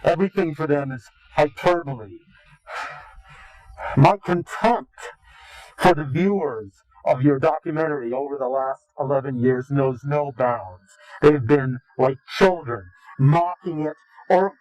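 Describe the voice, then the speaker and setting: A man answering interview questions combatively, in a formal, deliberate and contemptuous way. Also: fairly high pitch, a little mellow, no echo, poor audio. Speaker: interviewed anonymous informant.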